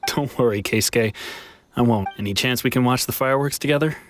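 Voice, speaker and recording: An actor playing a weary, regretful runaway returned home in a video game, speaking earnestly and wistfully. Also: male voice, medium pitch, fairly quick, slight background noise, lightly acted. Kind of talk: dramatic video game voice acting.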